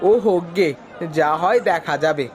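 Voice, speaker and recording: A man voicing a mild but stubborn, honest village farmer in an animated moral tale. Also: a little high, moderate pace, some chatter behind, a little hiss or hum, lightly acted. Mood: firm.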